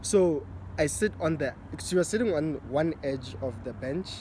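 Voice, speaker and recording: A laid-back young man from recounts how he met his girlfriend. He talks in a relaxed drawl and goes quieter when quoting himself. Clear outdoor noise runs behind him. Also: fairly high pitch, moderate pace. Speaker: boyfriend, guest. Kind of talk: casual storytelling chat. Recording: noisy outdoor setting.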